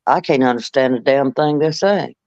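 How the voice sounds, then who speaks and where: A woman's voice: very deep voice, moderate pace, darkish tone, no room sound. A woman from North Carolina with a Southern accent, chatting casually in a sing-song, drawling way and calling people honey. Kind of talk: casual chat. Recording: dull lo-fi sound.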